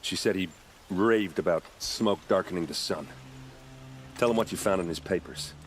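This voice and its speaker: Casting: male actor as low-pitched soldier, raw and self-doubting younger brother, dramatic video game scene with music underneath. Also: normal speed, natural voice. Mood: subdued, determined. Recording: music behind the dialogue.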